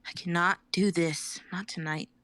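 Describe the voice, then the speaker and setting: A woman with a gravelly voice reading an anxious, pleading role in a live dramatic reading. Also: lowish voice, steady tone, moderate pace, a bit bright. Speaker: actor in a reading.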